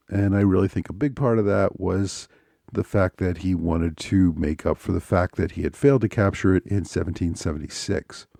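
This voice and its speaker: A man hosting a history podcast, talking in a measured, unhurried way, his voice a little scratchy from a cold. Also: on the low side, dark tone, dry recording. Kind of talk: solo podcast talk.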